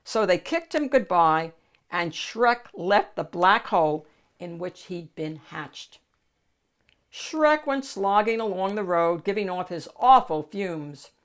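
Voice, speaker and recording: Slow-paced woman reading a storybook. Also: lowish voice, lively intonation. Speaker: host of a children's book read-aloud show. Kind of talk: expressive storybook narration.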